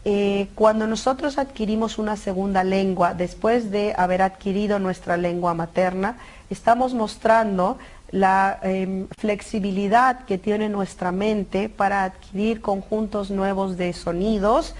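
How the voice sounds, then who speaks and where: A woman expert giving a calm explanation, with occasional "em" pauses. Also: medium pitch. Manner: calm, with "em" fillers. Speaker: linguistics expert.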